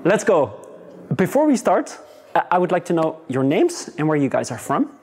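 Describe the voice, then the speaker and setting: A young man with a Dutch accent teaching a lively, encouraging beginners' language class, slowing down to model pronunciation. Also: a little high, husky. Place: large open classroom with students seated around.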